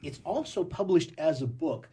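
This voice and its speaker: Animated, husky man with a higher voice. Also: moderate pace. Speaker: actor and discussion panelist. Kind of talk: casual book chat.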